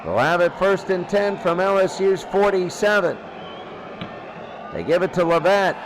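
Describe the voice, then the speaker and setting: Guy doing live football radio play by play, high voice, clipped repeated calls, noisy recording. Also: a little slow. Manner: repeats phrases, clipped present-tense calls. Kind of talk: live radio sports commentary.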